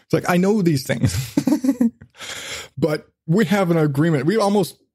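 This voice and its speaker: A man's voice: on the high side, animated, takes it slowly, warm tone, slightly rough, no room sound, studio quality. A man hosting a casual podcast chat, rambling along and swearing freely. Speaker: podcast host.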